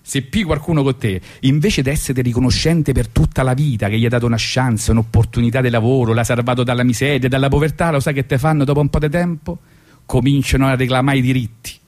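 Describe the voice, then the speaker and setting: A smug boss, as a male storyteller puts him on in a satirical monologue. He is falsely sorry and self-justifying, with oily politeness and a pitch that often climbs above a middle register. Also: fairly even intonation, quick pace, a little mellow, natural voice. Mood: aggrieved. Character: smug, falsely regretful Italian boss firing a worker.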